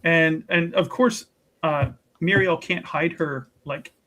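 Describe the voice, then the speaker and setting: A tabletop roleplaying player casually improvising narration of a character's actions, animated and giggly, often rephrasing midway. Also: medium pitch, slow pace, rough recording. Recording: clear background noise.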